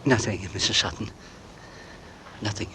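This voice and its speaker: An actor playing an anxious, suspicious married gentleman in 1940s radio suspense style, formal and deliberate, with hesitant phrasing. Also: fairly low pitch, slight background noise. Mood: guarded, nervous. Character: anxious, suspicious married gentleman narrating his own torment. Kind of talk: 1940s radio suspense acting and first-person narration. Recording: old radio recording with dramatic music behind.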